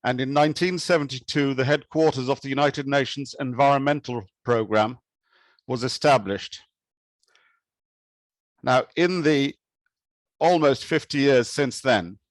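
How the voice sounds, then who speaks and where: A man from Kenya giving an academic talk with slides, speaking in a measured, thoughtful way with occasional restarts. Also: medium pitch, no room sound. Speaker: conference speaker.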